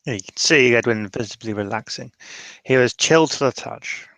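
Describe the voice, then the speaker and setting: A man with a creaky, mid-pitched voice improvises roleplay dialogue. He speaks haltingly, circling back to repeat phrases, and the sound is close and dry. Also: a bit bright, dry recording. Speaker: tabletop roleplaying player. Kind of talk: improvised in-character roleplay with some narration.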